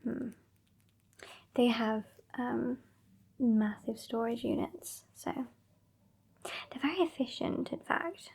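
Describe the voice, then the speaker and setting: Female performer as haughty, teasing British vampire woman, ASMR roleplay. Soft whispery delivery, dark mellow tone, creaky edges, dry and bossy. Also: a little high, takes it slowly, pauses often, full character voice. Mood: haughty, scheming. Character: sassy, bossy female vampire, over a century old.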